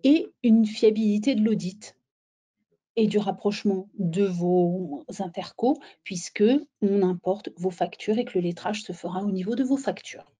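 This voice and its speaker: A woman presenting a software webinar in an explanatory, somewhat halting way, as if walking listeners through slides. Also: medium pitch, husky.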